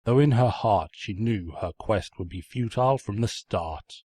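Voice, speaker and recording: A man's voice: fairly low pitch, moderate pace, dark tone, husky, no room sound, clean studio sound. A man reading a story as a theatrical narrator, mock-pompous and sardonic. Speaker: narrator, fan fiction reader.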